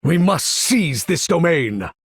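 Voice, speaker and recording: A man voicing a proud, imperious older mutant leader for a video game, speaking in a formal, theatrical, condescending way with a gravelly, husky voice. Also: fairly high pitch, very animated, takes it slowly, dry recording, studio quality, exaggerated voice. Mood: commanding, defiant. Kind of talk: video game character voice lines.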